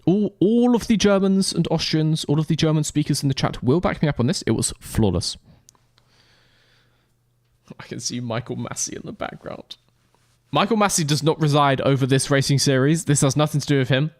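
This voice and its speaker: A man's voice: on the high side, fairly quick, a little mellow, no room sound, clean studio sound. A man streaming a racing game, commenting playfully and joking with his chat. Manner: playful, jokey, talks to chat. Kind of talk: live gameplay commentary.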